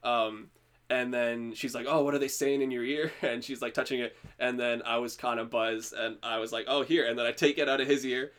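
A man's voice: mid-range voice, fairly even intonation, fairly quick, fairly bright tone. A man hosting a casual podcast, telling a story with frequent laughs and restarts. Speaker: podcast host. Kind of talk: casual chat.

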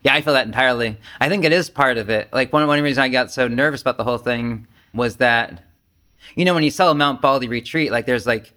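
A man's voice: mid-range voice, quick pace, bright, forceful tone. A man leading a casual group discussion as a Zen teacher, speaking candidly and self-deprecatingly, with swearing and imagined quotes.

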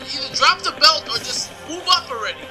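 Man, high swooping voice, comedic news host, mock-earnest, music behind. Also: expressive, brisk. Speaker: host reading wrestling news. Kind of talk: comedic news segment with banter.

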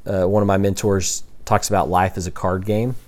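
A man's voice: low voice, little pitch movement, moderate pace, darkish tone. A young man teaching a class, talking casually, thinking aloud with restarts and questions to the students. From Texas. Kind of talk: classroom lecture.

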